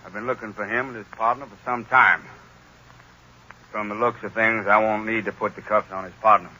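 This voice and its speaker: Sharp-voiced country sheriff. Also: male voice, lowish voice, little pitch movement, fairly quick. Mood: dry, satisfied. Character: unhurried, drily polite country sheriff. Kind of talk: 1930s radio acting. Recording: noisy, muffled 1930s radio recording.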